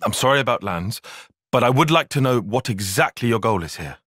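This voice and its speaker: A man's voice: medium pitch, expressive, brisk, fairly bright tone, no echo, studio quality. A man playing an earnest, analytical young soldier in a fantasy video game, speaking with precise, formal phrasing. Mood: serious, analytical. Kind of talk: video game cutscene acting.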